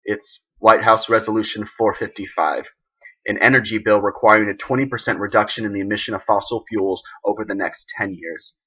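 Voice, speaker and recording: Man acting a forceful, husky, indignant president. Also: on the low side, fairly even intonation, dull audio. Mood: resolute. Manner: oratorical, emphatic, deliberate. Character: embattled US president, a firm, indignant, idealistic man. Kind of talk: dramatic film monologue as a televised speech.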